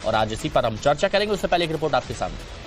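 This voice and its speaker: Male, news anchor, slightly high voice, scripted delivery, light music behind. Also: big pitch swings, quick pace.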